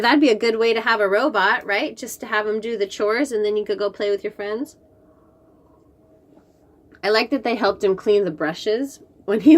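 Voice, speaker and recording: A woman hosts a read-aloud for young children. Her pitch moves in a lively way and her pace is unhurried. She is expressive and encouraging, stepping out of the narration for chatty, teacherly asides. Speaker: children's story reader and host.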